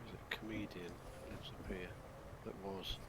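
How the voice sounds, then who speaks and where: A man's voice: deep voice, flat delivery, normal speed. A middle-aged man from the East Midlands of England chatting casually on voice chat, hesitant with many restarts. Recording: loud background noise.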